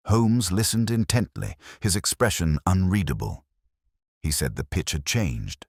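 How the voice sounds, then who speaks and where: Very deep-voiced man narrating a detective mystery. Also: big pitch swings, dry recording, studio quality. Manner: measured, literary storytelling in the first person. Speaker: audiobook narrator.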